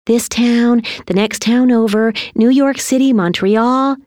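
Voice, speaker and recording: Woman voicing a soft, gentle, apologetic mother. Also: fairly high pitch, measured pace, no echo, studio quality, pitched above the performer's own voice, lightly acted. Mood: soft, explaining. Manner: soft, gentle, hesitant explaining.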